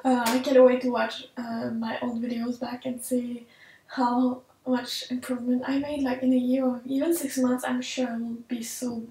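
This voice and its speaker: A young French-Canadian woman with a high, fairly level voice talks through a casual vlog Q&A in her second language, earnest and a little hesitant, often pausing on "um" as she searches for words. She sits at her home office desk, a bit back from the camera mic, and the room sounds echoey. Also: flat delivery, warm tone, recorded from a distance, a little hiss or hum. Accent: Quebec French. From Quebec, Canada. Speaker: tech vlogger. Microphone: camera mic at desk distance.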